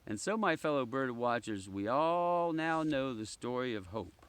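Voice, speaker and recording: A man voicing an encouraging woman teacher who gently guides young bird watchers in a storybook. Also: medium pitch, lively intonation, measured pace. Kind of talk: children's storybook read-aloud. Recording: clearly noisy background.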